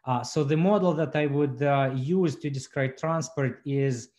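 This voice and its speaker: A man's voice: mid-range voice, unhurried, a little mellow. A man in his forties giving a physics seminar talk over a video call from home, hesitant with frequent fillers and restarts. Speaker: physics lecturer. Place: home room indoors. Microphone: laptop webcam on video call.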